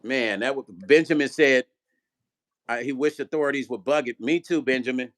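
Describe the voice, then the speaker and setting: A man's voice: medium pitch, normal speed, a bit bright. A middle-aged man hosting a casual livestream, reading viewer comments aloud and urging people to tap the screen, in an upbeat, repetitive way. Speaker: livestream host.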